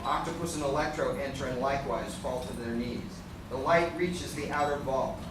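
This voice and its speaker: A man's voice: mid-range voice, normal speed, lightly acted. A man reading scripted narration for a live radio play, describing the action as narrator. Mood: dramatic narration. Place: live convention stage reading in a large room. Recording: echoey noisy hall, recorded from far off.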